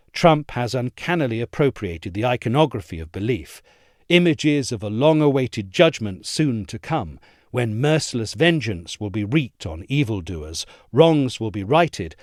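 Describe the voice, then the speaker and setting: A man reading a scripted political essay in a measured, formal manner. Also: medium pitch, big pitch swings, dry recording, clean studio sound. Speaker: reader of an audio essay.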